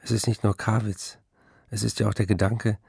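An actor playing a troubled, remorseful writer who reads his letters soberly and deliberately in a radio play. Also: fairly low pitch, little pitch movement, creaky, heavy background noise. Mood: weary, reluctant.

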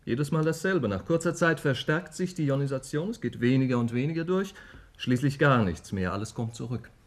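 An actor playing an excitable, plain-spoken research scientist with emphatic delivery in a 1950s German radio drama. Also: male voice, medium pitch, brisk, natural voice. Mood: puzzled, insistent.

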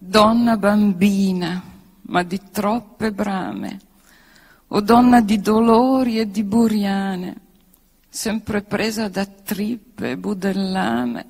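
A woman reciting verse theatrically, in a deliberate, declamatory manner. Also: mid-range voice, vocal fry, darkish tone, slightly rough. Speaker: poet reading own work. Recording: long hall reverb.

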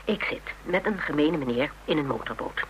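A woman's voice: sits low, lively intonation, moderate pace. An actress playing a spirited, teasing woman in a radio drama, telling things playfully and dramatically with self-mockery. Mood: playful, dramatic. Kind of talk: Dutch radio drama dialogue. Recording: hummy, noisy old radio recording.

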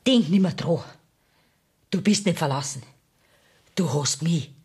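Devoted, fierce Bavarian sweetheart, radio drama. Low pitch, extremely breathy, husky delivery. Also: female voice, lively intonation, measured pace, darkish tone, heavily acted. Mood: soothing. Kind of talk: radio play acting.

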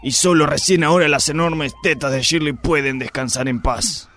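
Blustering, crude police inspector, played by a man, gravelly and pompous, in a radio play. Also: on the high side, normal speed, a bit bright. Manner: pompous, lecherous, theatrical.

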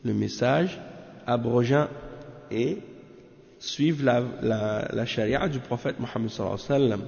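A man with a cold, teaching a religious commentary and explaining it in halting, restarting French. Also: medium pitch, creaky, a little mellow. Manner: halting, many restarts and fillers. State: has a cold. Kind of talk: reading Arabic text, then explaining in French. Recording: muffled roomy lo-fi recording.

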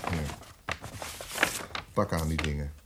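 A man acting in a radio drama as a cold, methodical police interrogator with a measured, official way of talking. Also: very deep voice, steady tone, very noisy. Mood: cold, pressing. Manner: measured, insistent, official questioning. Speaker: actor.

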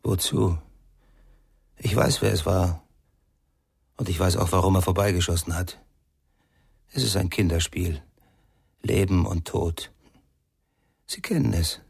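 A very husky, low-voiced musician, calm and cryptic, with a gravelly creak. He speaks in measured, laconic phrases, as in a radio crime drama. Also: dry recording, studio quality, exaggerated voice. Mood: cool, knowing. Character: enigmatic, calm male musician, knowing and laconic.

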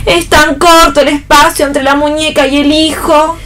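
A woman playing an anguished, resolute young daughter in radio theatre. Her voice is high and solemnly theatrical, over faint background noise. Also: little pitch movement, moderate pace, fairly bright tone. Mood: yearning.

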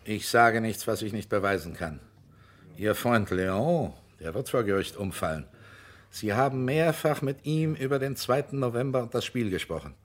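An actor playing a stern, persistent investigator in a German radio crime drama, speaking in a deliberate, formal, insistent way. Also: male voice, fairly low pitch, lively intonation, lightly acted. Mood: stern, pressing. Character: stern, persistent male investigator pressing a witness.

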